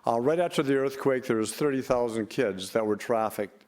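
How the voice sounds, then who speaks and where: Older male panel speaker, medium pitch, unscripted, hesitant and rambling delivery. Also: moderate pace, a little mellow. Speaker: panel speaker for a relief organization. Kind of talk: unscripted conference panel talk.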